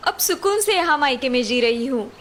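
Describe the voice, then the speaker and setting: A woman's voice: high voice, expressive, fairly quick, a bit bright, faint music behind. A woman doing a bold, rebellious young wife. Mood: brash. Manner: brash, encouraging.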